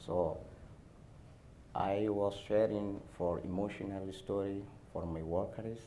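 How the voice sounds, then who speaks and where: A man from Somalia with a Somali accent, speaking haltingly on a panel as an airport worker. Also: low voice, fairly even intonation, mellow, a little hiss or hum. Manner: halting, non-native phrasing. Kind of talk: panel discussion answers.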